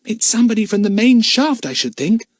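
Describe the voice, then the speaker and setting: Man playing an excitable high-pitched schoolboy. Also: brisk, no echo, studio quality. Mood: hushed alert. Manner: high, urgent, boyish. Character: excitable, warm-hearted schoolboy adventurer.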